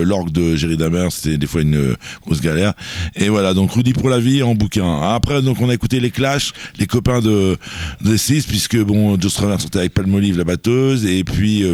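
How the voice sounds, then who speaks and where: A man's voice: low voice, fairly quick, darkish tone, dry recording. A man presenting music on a radio show in a studio, chatting casually and rambling with many fillers and restarts. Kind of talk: casual radio chat about music history.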